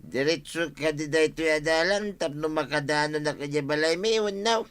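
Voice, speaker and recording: An actor playing a boisterous, tipsy village husband in an Ilocano comedy radio drama, with an Ilocano accent. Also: male voice, fairly high pitch, normal speed, a bit bright, clear, resonant tone, close to an everyday voice.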